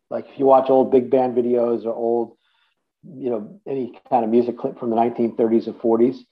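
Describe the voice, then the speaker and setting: A man in his fifties from the Boston area, telling stories as an interview guest in a rambling, casual way with many restarts. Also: medium pitch. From Boston area, USA. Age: 50s. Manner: rambling storytelling with many restarts and "you know". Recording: tinny phone-like line.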